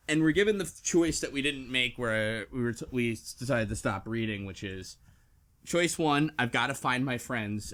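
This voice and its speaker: A man with an average-pitched voice talks as a podcast co-host in a casual discussion. He recaps a story in loose, conversational phrases, often stopping to reword or correct himself. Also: a bit bright. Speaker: book club podcast co-host. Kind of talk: casual chat, recapping a visual novel.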